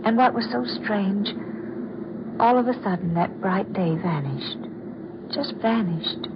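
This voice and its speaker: An actress playing a troubled soprano star haunted by dread, in theatrical old-time radio horror style with lingering dramatic pauses. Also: female voice, medium pitch, natural voice. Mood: mounting dread. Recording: dull, very noisy vintage radio recording.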